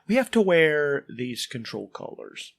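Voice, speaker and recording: A man's voice: fairly high pitch, big pitch swings, unhurried, vocal fry, full character voice. Guy doing a polite nervous sci-fi passenger, super wordy and formal, keeps hedging and stumbling. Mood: uneasy, apologetic. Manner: hesitant, wordy, euphemistic, formal phrasing. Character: Ulan, polite, fretful male passenger explaining awkward matters.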